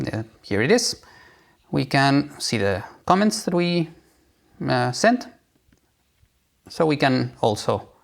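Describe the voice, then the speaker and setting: Male software presenter, mid-range pitch, creaky edge, informal step-by-step demo delivery. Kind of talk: live software demo walkthrough.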